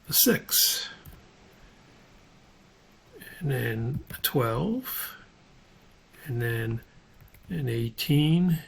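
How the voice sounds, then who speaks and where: Slow, gravelly man giving a tutorial. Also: medium pitch. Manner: thinking aloud, halting step-by-step explanation. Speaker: instructor. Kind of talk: spreadsheet tutorial narration, unscripted.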